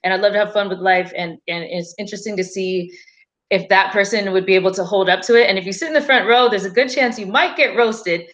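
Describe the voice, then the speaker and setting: A woman's voice: medium pitch, quick pace, fairly bright tone. A woman in her early thirties, a guest chatting casually and giggling often. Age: 30s. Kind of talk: casual livestream chat.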